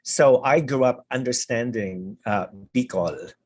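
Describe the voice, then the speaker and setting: A man's voice: medium pitch, measured pace, husky. A man hosting a casual video call interview, chatty and prone to repeating his words. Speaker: pageant interviewer.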